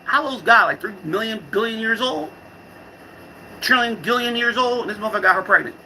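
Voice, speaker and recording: A man's voice: high-pitched, lively intonation, brisk, sharp tone, slight background noise, rough recording. A man giving a rambling, casual vlog monologue, irreverent, slangy and profane, often repeating and restarting his phrases. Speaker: vlogger.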